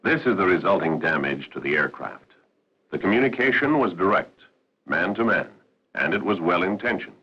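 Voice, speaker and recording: A man with a very deep, rough-edged voice narrates a 1960s military training film in a formal, instructive way. His intonation moves widely, and the old soundtrack sounds muffled. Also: very animated. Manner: authoritative, measured, instructive.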